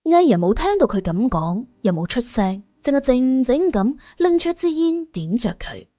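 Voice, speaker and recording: A woman narrating a suspense audiobook in an expressive, colloquial storytelling style. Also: a little high, normal speed, no echo. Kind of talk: scripted suspense storytelling in Cantonese. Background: music under the voice.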